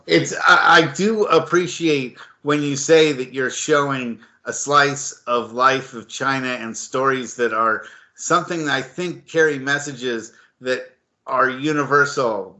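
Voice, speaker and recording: A man's voice: mid-range voice, slow, pushed and bright. A man co-hosting an interview podcast, enthusiastic and prone to stumbling restarts. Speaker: podcast co-host.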